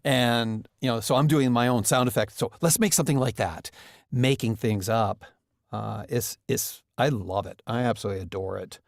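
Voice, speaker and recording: A man's voice: medium pitch, moderate pace, vocal fry, no room sound, studio quality. A man chatting casually in an interview, effusive and feeling his way through his thoughts. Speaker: audio drama director being interviewed.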